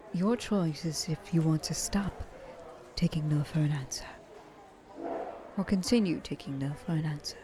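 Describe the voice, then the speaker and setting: An elegant vampire woman of a thousand years, acted by a woman for an ASMR roleplay. Her voice sits on the low side and is very mellow, often sinking to a breathy whisper. She flirts in formal, genteel phrases with sweet condescension, over faint background noise. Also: natural voice. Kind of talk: ASMR roleplay, intimate monologue to listener.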